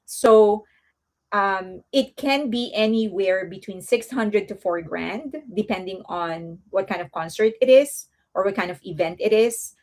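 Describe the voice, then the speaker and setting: A woman's voice: medium pitch, unhurried. A woman with an accent, hosting a casual fan news livestream, chatty and rambling, often laughing. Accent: non-native English accent. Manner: speaks softly and coos to a baby at times. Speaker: host of a fan news channel.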